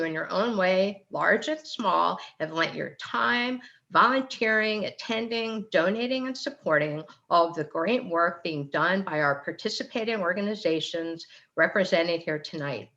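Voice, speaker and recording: A woman's voice: lowish voice, lively intonation, moderate pace, gravelly, hardly any pauses, bright, forceful tone, highs cut off. A woman co-hosting a community event, reading prepared welcome remarks and thanking everyone. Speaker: event co-chair and host. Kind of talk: scripted welcome speech.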